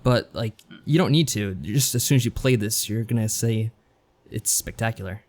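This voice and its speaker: A man's voice: lowish voice, moderate pace, darkish tone. A man co-hosting a podcast, chatting informally and agreeing in an easygoing way. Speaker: podcast co-host. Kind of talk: casual chat.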